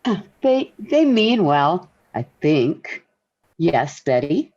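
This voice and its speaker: Old radio sitcom mom, polite, tactful, a bit hesitant, muffled lo-fi sound. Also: female voice, low voice, sing-song, slow, a little mellow, heavily acted. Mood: gently chiding. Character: gracious, tactful suburban mother and hostess. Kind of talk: 1940s radio sitcom acting. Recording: dull, lo-fi 1940s radio recording.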